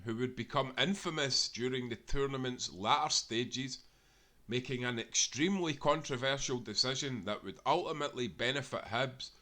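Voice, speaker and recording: A man's voice: medium pitch, bright, forceful tone. A Scottish man reading aloud a football history tale, earnestly and with small self-corrections along the way. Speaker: narrator.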